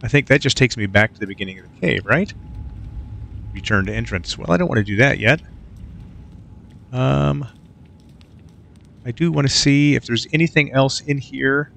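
A man talks his way through a video game, easygoing and half to himself, pausing with little fillers as he goes. His voice sits at an ordinary pitch with a gravelly edge. A faint hiss runs underneath the recording. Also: a bit bright. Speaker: let's play gamer. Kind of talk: casual gameplay commentary.

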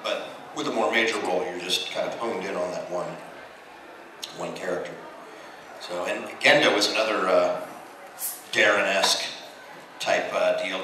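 Echoey American man on a panel. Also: deep voice. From United States. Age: middle-aged. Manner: animated storyteller, acting out quoted exchanges. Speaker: voice actor, panel guest. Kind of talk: casual anecdotes at a convention panel. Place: large convention hall, on stage. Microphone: handheld mic through PA, filmed from audience. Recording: echoey hall from far off, noisy.

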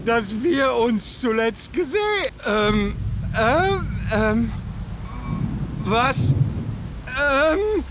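A middle-aged drunk former army doctor for an audio drama. He is frightened and speaks very slowly, stumbling and stammering. His pitch swings widely, over loud ambient noise. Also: lively intonation, exaggerated voice. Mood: alarmed. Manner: stammering, halting, tipsy. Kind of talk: German audio drama acting.

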